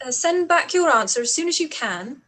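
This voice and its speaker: A woman's voice: high voice, lively intonation, normal speed, a bit bright. A woman playing an earnest, outspoken young Regency woman in a period radio play, with formal diction. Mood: reading aloud, surprised. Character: Lizzy, anguished, outspoken young Englishwoman of Regency times.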